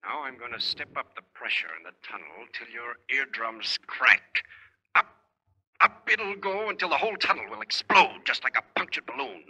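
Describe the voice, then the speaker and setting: An actor playing a gloating, menacing villain with an American accent and a harsh, taunting delivery in 1930s radio drama style. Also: male voice, mid-range voice, expressive, normal speed, sharp tone, breathy edge, full character voice. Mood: vicious, rising threat. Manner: taunting, emphatic. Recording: old radio recording, echoey hall reverb.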